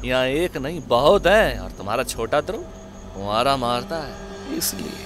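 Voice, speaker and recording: A man's voice: medium pitch, sing-song, moderate pace, over music, lightly acted. A man playing a crude, lecherous, whiny comic sidekick with earthy slangy banter in a horror-comedy audio drama. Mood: teasing.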